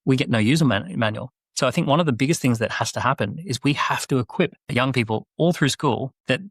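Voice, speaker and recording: A man speaking as a guest on a podcast, animated and persuasive. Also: medium pitch, fast talker, husky, no room sound, clean studio sound. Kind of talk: podcast conversation.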